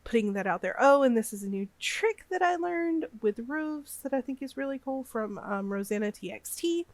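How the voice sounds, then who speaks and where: A woman's voice: high voice, animated, moderate pace, no echo. A woman in her thirties with a slight cold, rambling hesitantly with many fillers in a casual voiceover over a game build. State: has a cold, a bit sniffly. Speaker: gaming YouTuber.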